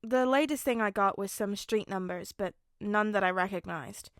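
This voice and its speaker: A woman voicing a dry, awkward teenage son with a flat, hesitant delivery in a story reading. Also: medium pitch, normal speed, no echo, natural voice.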